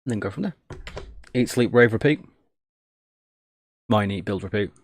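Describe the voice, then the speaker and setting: Low-pitched man muttering on a livestream. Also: moderate pace. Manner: mutters steps aloud, self-deprecating, jokey. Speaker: live streamer, host. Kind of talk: casual live tech stream chat, thinking aloud while typing.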